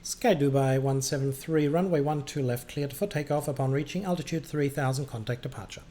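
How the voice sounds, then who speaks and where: A man's voice: mid-range voice, fairly quick, warm tone. A man hosting a gaming livestream, chatting casually and hesitantly between air traffic controller calls. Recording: clearly noisy background.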